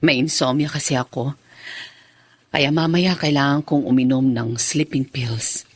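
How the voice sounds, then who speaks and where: A woman playing a wary, slow-spoken new stepmother. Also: a bass voice, steady tone, breathy edge, exaggerated voice. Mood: worried. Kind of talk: Filipino radio drama acting.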